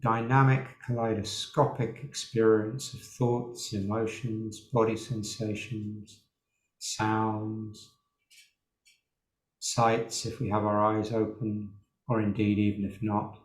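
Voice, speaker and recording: Slow, low-voiced man guiding meditation. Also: level tone. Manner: unhurried, with long pauses. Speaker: meditation teacher. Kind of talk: guided meditation. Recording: roomy, echoing sound.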